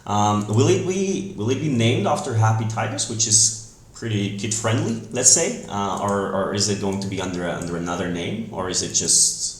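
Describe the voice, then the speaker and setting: A man's voice: fairly low pitch, animated, normal speed. A man from Belgium hosting a casual interview, asking questions in a friendly, affirming and somewhat hesitant way. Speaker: podcast host. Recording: roomy echo with faint noise.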